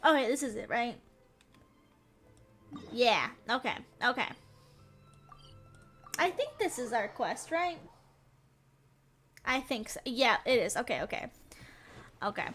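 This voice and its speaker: A young woman with a Southern American accent and a high voice, streaming a game and chatting with her viewers. She giggles a lot and keeps the talk playful and loose. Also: big pitch swings, slow, long pauses, a bit bright, soft background music. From Southern United States. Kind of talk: casual chat while gaming.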